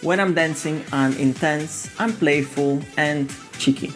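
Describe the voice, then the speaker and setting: A man's voice: a little high, a little slow. Man, 20s, from Ukraine, raised in Italy, earnest, enthusiastic, faint music behind. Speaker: professional dancer. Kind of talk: guest interview and to-camera intro. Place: TV studio.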